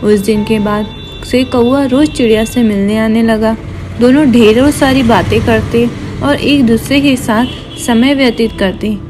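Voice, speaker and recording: A woman reading scripted narration for a children's moral story. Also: fairly high pitch. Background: background music.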